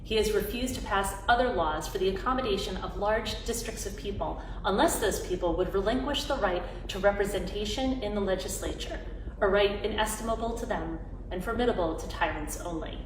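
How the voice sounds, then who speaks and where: A woman's voice: medium pitch, a little fast. A woman reading a formal historical document aloud. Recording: echoey, distant mic, faint noise.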